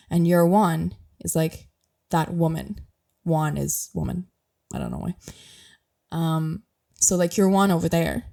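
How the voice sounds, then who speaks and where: Young irish woman, mild accent, bit sick and coughy, mellow lowish voice, chatty podcast host. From Ireland. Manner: chatty, laughs often, rambling with fillers. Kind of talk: casual explanatory chat.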